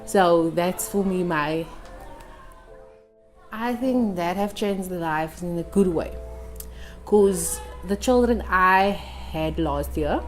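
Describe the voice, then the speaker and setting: A young woman in her twenties speaks softly and thoughtfully about her tutoring work, pausing on hesitant ums. Her voice sits somewhat low for a woman, with faint music playing behind her. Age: 20s. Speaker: community tutor. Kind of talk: unscripted testimonial.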